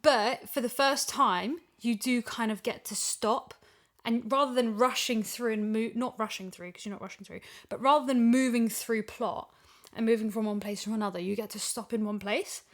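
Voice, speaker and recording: A woman's voice: fairly high pitch, fairly even intonation, normal speed. A woman in a casual podcast chat, talking emphatically and repeating phrases for stress as a voice actor discussing an audio drama.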